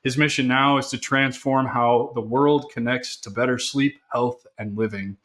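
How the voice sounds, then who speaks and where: A man hosts a podcast with punchy, casual delivery and a salty edge, speaking at a middle pitch. He moves briskly through his introduction and keeps the tone conversational. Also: steady tone, a bit bright. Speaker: podcast host.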